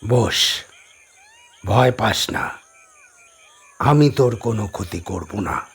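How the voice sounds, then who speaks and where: A man voicing an ominous, commanding old holy man with a husky voice and slow, drawn-out delivery, in a horror audio drama. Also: fairly low pitch, expressive, heavily acted. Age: older. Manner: slow, drawn-out, menacing chanting and speech.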